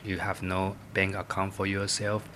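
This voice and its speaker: A man with a lowish voice speaks in halting English, stopping often to search for words. A faint room ambience sits behind him. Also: completely flat delivery, fairly bright tone, slight background noise. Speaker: interviewee's husband.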